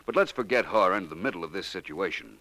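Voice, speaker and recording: An actor playing a suave, calmly superior gentleman detective in a 1940s radio drama, smooth and unhurried. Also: deep voice, exaggerated voice, poor audio. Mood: explaining. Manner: smooth, unhurried, lightly condescending.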